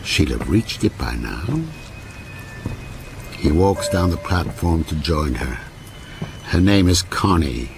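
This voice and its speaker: An actor playing a lonely, obsessive older man in a radio ghost story, with a brooding, deliberate delivery that repeats broken phrases. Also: a bass voice, expressive, creaky, noisy background, full character voice. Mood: haunted, obsessive. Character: lonely, obsessive, sinister old railwayman ghost narrating his story. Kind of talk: radio drama monologue.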